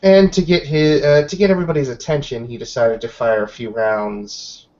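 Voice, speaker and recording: A man's voice: medium pitch, steady tone, normal speed, darkish tone, dull audio. A man with a hesitant, wry way of talking, improvising and narrating in a roleplaying game. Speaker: player in an online roleplaying session.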